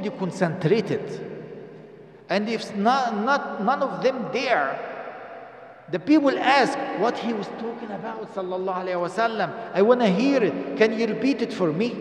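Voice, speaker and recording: An older man delivers a Friday sermon in a high, ringing voice, speaking earnest non-native English peppered with Arabic phrases. He builds to emphatic stresses and asks the congregation pointed questions. The echoey mosque hall carries a long reverb behind his stand microphone. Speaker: imam giving Friday sermon. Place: mosque prayer hall, indoors. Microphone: stand microphone at a lectern.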